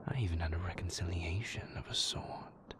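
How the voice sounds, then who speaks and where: A man voicing a moody, possessive yet tender vampire lover in an intimate roleplay audio, speaking in formal, measured phrases. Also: deep voice, in a whisper, close to an everyday voice. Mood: dry, smug.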